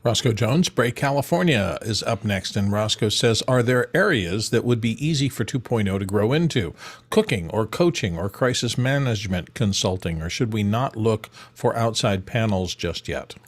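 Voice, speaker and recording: A man's voice: lowish voice, animated, moderate pace. A man reading audience questions aloud for an online panel. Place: remote video call.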